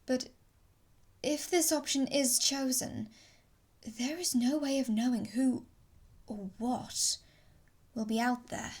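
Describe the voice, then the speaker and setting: Actress voicing a female spaceship computer, fairly high, formal, matter-of-fact, unemotional, sci-fi audio drama. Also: steady tone, slow, long pauses, a little mellow, husky, exaggerated voice. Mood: precise, unemotional. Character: female ship's computer, precise, unemotional, reciting options.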